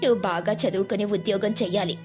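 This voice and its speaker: A woman's voice: mid-range voice, fairly even intonation, fast talker, darkish tone, soft background music, natural voice. A woman voicing a poor, hardworking village mother, tired and loving, in a moral storybook tale. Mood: loving, determined.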